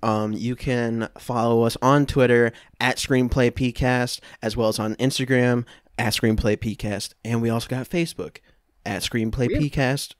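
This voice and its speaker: A man's voice: mid-range voice, normal speed, no room sound, clean studio sound. A man in his twenties co-hosting a podcast in casual chat from a room at home. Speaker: podcast co-host. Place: small room at home on a video call.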